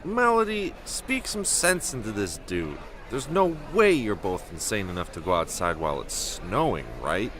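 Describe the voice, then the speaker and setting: A man acts out a grumbling teenage boy in an amateur script read. The voice sits low and moves around a lot in pitch as he argues in an exasperated, sarcastic way. There is faint noise on the home recording. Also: sing-song, moderate pace, hardly any pauses. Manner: exasperated, argumentative. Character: grumbling, sarcastic, reluctant male teen adventurer.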